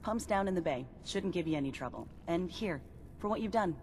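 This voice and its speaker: Low-voiced upbeat cult quartermaster woman, acted for a game. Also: expressive, brisk, close to an everyday voice. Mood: encouraging. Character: upbeat religious sister, cult quartermaster and tinkerer. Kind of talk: video game dialogue acting. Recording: game audio with faint background noise.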